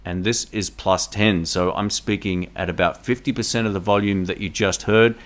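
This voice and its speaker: A man talks through a product review in a relaxed, enthusiastic way. He speaks into a tabletop condenser microphone in a room at home, explaining each step as he goes. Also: on the low side, moderate pace. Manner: speaks at varying loudness for gain tests. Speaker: tech reviewer. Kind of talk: casual product review to camera. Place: home room with a fridge nearby.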